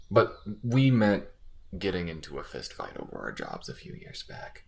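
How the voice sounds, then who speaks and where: Male actor as gruff alien soldier, extremely low pitch, gravelly fry, easily flustered, halting delivery, audio roleplay. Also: wildly swooping pitch, darkish tone, exaggerated voice. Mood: flustered, stammering. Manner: halting, stammering when flustered. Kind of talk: scripted audio roleplay acting.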